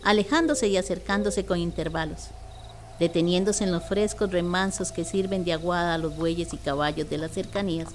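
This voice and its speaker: A woman's voice: on the low side, steady tone, moderate pace. A Costa Rican woman reading a scripted legend as narrator of a radio play. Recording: clear background noise, nature ambience.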